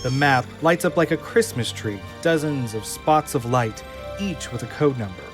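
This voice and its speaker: A man's voice: medium pitch, normal speed. A man reading scripted stage directions as the narrator of an audio drama. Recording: music under the voice. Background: music.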